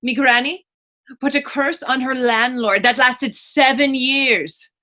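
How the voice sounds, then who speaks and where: Woman playing witch, high bright voice, proud, defiant, taunting, folksy stage drama. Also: slow pace, full character voice. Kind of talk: stage readers theater acting.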